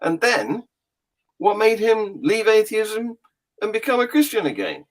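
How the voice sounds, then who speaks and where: Animated man introducing a guest. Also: high-pitched, measured pace. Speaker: host. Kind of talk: casual video-call conversation.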